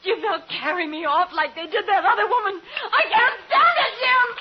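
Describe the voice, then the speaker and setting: A woman's voice: extremely high pitch, normal speed. A woman playing a terrified, helpless American frontier bride, breathless and pleading, in melodramatic old radio style. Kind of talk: melodramatic 1950s radio acting. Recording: muffled, very noisy vintage radio recording.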